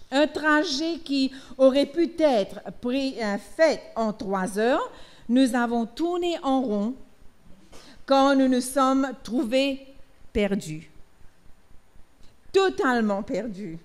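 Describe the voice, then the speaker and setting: A middle-aged woman reads a sermon aloud in church. She speaks slowly and with care, in a somewhat high voice. She often hesitates and goes back to restart a word. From Mauritius. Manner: slow careful reading with hesitations and restarts. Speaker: preacher.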